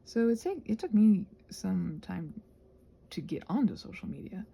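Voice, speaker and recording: Soft, creaky-voiced woman rambling casually. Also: medium pitch, sing-song, normal speed. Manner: self-deprecating, meandering, thinking aloud. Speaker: ASMR creator rambling while crafting. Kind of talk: casual rambling ASMR vlog.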